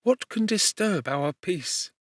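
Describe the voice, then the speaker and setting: A male narrator voicing a gentle, grieving young woman in an audiobook, pleading and earnest. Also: high voice, animated, slow, no echo, voice pushed up, heavily acted. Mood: consoling. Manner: pleading, earnest, softly lifted voice. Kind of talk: scripted audiobook reading. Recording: dry close studio.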